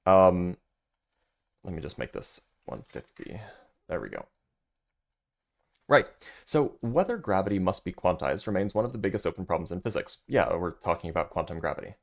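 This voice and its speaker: A man with a deep voice hosting a livestream, reading a physics paper aloud and chatting loosely with viewers between passages, with plenty of fillers. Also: sing-song, normal speed, gravelly, pauses often. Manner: loose and chatty, many fillers, answers chat.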